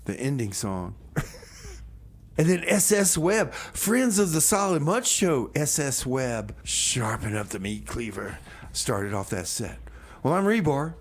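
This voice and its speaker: A man's voice: medium pitch, sing-song, slow pace, exaggerated voice. A man playing an eager, stubborn doomsday survivor and radio host in a casual comedy show, rambling and repeating himself.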